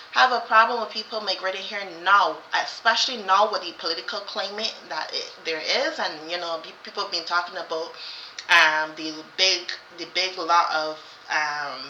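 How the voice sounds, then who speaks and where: Woman, casual chat, very bright voice, rambling, roomy slightly dull recording. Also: lowish voice, moderate pace, slight background noise. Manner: rambling and repetitive, with many fillers and restarts. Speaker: co-host.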